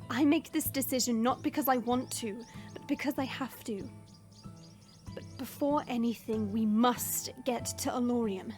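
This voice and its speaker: A proud young princess, acted by a woman, speaks in a high, regal voice with formal, haughty resolve. It is fantasy audio drama, set over clearly audible music. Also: a little mellow, natural voice. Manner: formal, commanding.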